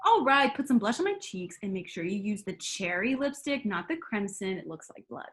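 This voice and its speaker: Grandmother, seventies, brash, vain and bossy, excitable delivery; played by a woman at a table read. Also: medium pitch, a little fast.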